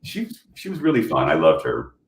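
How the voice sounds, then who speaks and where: A man's voice: very deep voice, wildly swooping pitch, moderate pace, a little hiss or hum. A man chatting on a panel from home over a video call, rambling with lots of fillers and restarts. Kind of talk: casual panel chat. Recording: echoey room.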